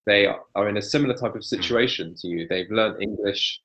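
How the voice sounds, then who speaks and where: A man's voice: lowish voice, fairly quick, fairly bright tone. A man hosting an interview, asking questions in an interested, encouraging way. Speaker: interviewer, host. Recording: dull lo-fi audio.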